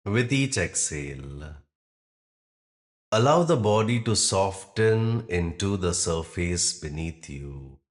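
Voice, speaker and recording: A man with a deep voice leads a guided relaxation. He speaks very slowly and calmly, in short measured phrases separated by long pauses. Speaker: yoga nidra meditation guide.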